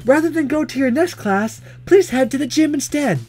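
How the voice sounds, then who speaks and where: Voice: high-pitched, expressive, normal speed, darkish tone, voice pushed up. A let's player voicing a stern, awkward female teacher from a visual novel, making formal announcements over game music. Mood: prim, official.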